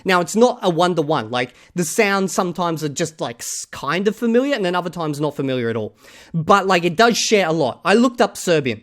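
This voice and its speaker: Man, reaction host, skeptical and emphatic, casual rant with loud bursts. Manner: skeptical, emphatic, swings between loud outbursts and low mutters.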